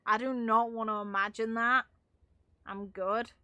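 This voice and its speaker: A woman's voice: fairly high pitch, steady tone, a little slow, pushed and bright, no room sound. A woman giving casual, opinionated video game commentary as a streamer, with some casual swearing.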